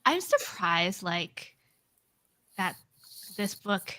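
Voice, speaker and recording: A woman chatting casually as a book panelist, phrasing her thoughts haltingly with lots of filler words. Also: mid-range voice, big pitch swings, bright, forceful tone. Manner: hesitant phrasing with frequent "like". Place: online group live stream.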